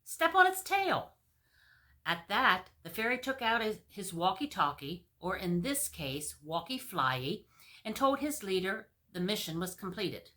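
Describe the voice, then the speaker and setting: A woman storyteller reads a comic tale aloud in a fairly low, bright voice. Her pitch moves around a great deal, giving the narration an animated, expressive feel. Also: measured pace.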